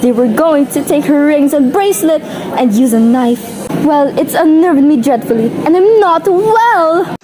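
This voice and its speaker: An actress in a radio play, playing an anxious, demanding invalid wife who is easily exasperated and insistent. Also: female voice, sits high, animated, measured pace, noisy background. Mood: agitated, pleading. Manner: agitated, insistent, indignant. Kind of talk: old-time radio play acting.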